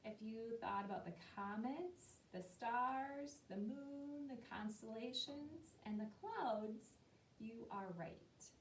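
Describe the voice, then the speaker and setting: A woman teacher explaining key words to young students, slowly and patiently, and asking them questions. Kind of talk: classroom lesson talk to young students. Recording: roomy and distant, faint rumble.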